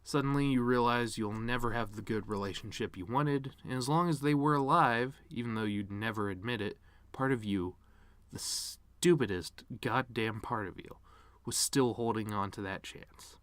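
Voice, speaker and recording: A man's voice: medium pitch, normal speed, fairly bright tone, clear, resonant tone, no room sound, lightly acted. A man performing a dramatic monologue as a bitter, grieving middle-aged cartoon horse-man, sardonic, rambling and wounded. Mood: reflective, frustrated. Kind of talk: dramatic reading of a eulogy monologue.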